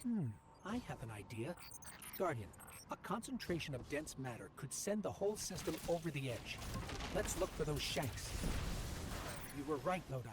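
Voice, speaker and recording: A man's voice: fairly high pitch, very animated, normal speed. A man voicing a helpful robotic companion in a video game, speaking in a precise, curious, earnest manner. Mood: intent. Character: inquisitive, analytical robotic companion, male, helpful. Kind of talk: scripted video game dialogue. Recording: clear background noise of game ambience.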